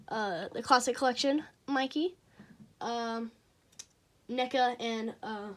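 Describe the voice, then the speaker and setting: A teenager with a childlike voice, reviewing toy figures in a casual, hesitant way with frequent pauses and fillers. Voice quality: childlike, high voice.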